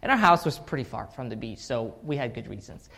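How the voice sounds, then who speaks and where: Man telling a halting story from the pulpit. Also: medium pitch, very animated, creaky, sharp tone. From Russia. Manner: halting storytelling with many fillers and restarts. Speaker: preacher. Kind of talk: scripture reading then personal story sermon.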